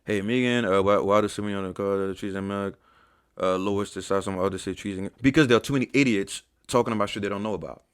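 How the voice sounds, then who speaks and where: A man's voice: lowish voice, fast talker, smooth, no room sound, studio quality. A young man hosting a fitness Q&A, bluntly and profanely debunking claims with an exasperated tone. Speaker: fitness channel host. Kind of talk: live Q&A, reading chat questions.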